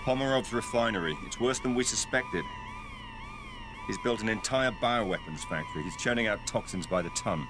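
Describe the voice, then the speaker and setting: An actor playing a suave, commanding British secret agent in a video game, dry, terse and assured. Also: male voice, mid-range voice, huge pitch swings, normal speed. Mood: businesslike. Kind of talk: cinematic video game acting. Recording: music behind, vehicle noise.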